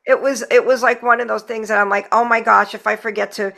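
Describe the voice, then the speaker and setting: A woman's voice: fairly high pitch, steady tone, fast talker, vocal fry, bright, forceful tone. A woman hosting a podcast in casual chat, speaking in an effusive, grateful way. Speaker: podcast host. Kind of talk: casual chat, wrapping up a group podcast interview.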